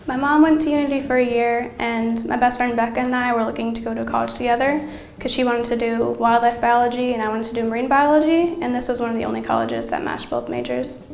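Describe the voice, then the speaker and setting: A woman's voice: on the high side, quick pace, gravelly, reverberant. A nineteen-year-old woman from Maine, chatting fondly and a little hesitantly in an interview. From Maine, USA. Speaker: student interviewee. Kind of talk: casual interview answers.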